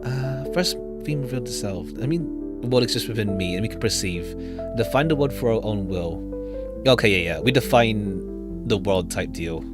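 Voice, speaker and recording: A woman's voice: a bass voice, animated, normal speed. A woman doing casual live commentary on a game, loosely thinking aloud as she plays. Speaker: streamer playing and commenting on a game. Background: jazzy game background music.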